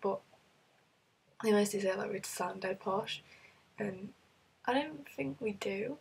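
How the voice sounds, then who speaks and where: A woman's voice: mid-range voice, slow, gravelly, slightly rough. A woman with a British accent chatting casually through a list of questions, rambling with lots of fillers while she is ill. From United Kingdom. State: ill, voice rough. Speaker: vlogger.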